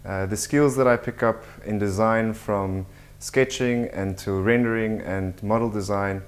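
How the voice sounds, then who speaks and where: A young man from Africa speaks in a fairly low voice. He gives a student testimonial about his own experience. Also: steady tone, measured pace. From South Africa. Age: 20s. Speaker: design student.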